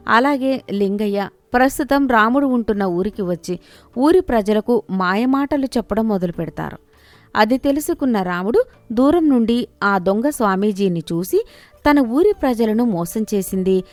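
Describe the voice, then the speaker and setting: A woman's voice: a little high, darkish tone, no room sound. A woman reading a scripted moral story as its storyteller. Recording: music behind the voice.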